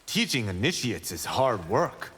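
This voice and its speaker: A man's voice: medium pitch, sing-song, measured pace, fairly bright tone. A man voicing a brash, boastful young martial-arts fighter in a video game, teasing as he talks. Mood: earnest. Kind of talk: video game character banter. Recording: reverberant hall with faint background noise.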